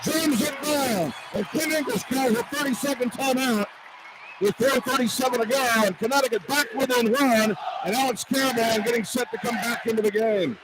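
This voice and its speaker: A man calling live basketball play-by-play on radio from a noisy arena, in excited, clipped phrases that follow the action. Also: very high voice, moderate pace, a loud crowd. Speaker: play-by-play announcer. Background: loud arena noise.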